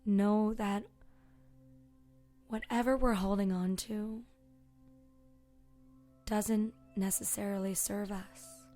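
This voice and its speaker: A woman leading a guided meditation as a yoga teacher, speaking softly and soothingly with long pauses and a voice that can trail toward a breathy whisper. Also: medium pitch, steady tone. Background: soft meditation music with singing bowl tones.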